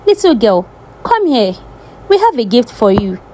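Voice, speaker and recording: A woman's voice: fairly high pitch, sing-song, normal speed, warm tone, a little hiss or hum. A woman storyteller voicing scheming, quarrelsome old male sorcerers in a folktale. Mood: coaxing.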